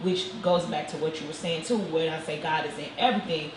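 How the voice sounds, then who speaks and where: A woman's voice: sits low, fast talker. A woman in her forties, a guest in a casual talk-show chat, speaking earnestly and reflectively and laughing easily. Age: 40s. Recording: echoey room with background noise.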